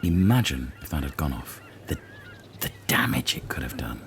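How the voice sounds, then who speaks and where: A man voicing Gav, a dry, pedantic islander who talks flatly. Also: a bass voice, moderate pace, a little hiss or hum. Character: deadpan grumpy male islander Gav.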